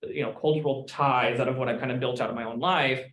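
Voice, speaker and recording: A man's voice: medium pitch, steady tone, a bit bright. A man who teaches Italian, talking earnestly in a roundtable and saying "you know" often. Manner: fast, earnest, uses "you know" a lot. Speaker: graduate Italian instructor. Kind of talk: roundtable discussion. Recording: echoey room.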